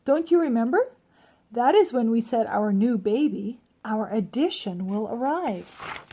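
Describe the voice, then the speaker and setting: A woman's voice: fairly high pitch, animated, measured pace, dark tone, breathy edge, exaggerated voice. A woman voicing a patient, reassuring mother in a children's storybook. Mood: patient, announcing.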